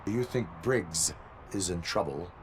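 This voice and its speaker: An actor playing a stern, dutiful cyborg police officer in a video game, clipped, formal, deadpan and commanding. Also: male voice, deep voice, measured pace, background noise.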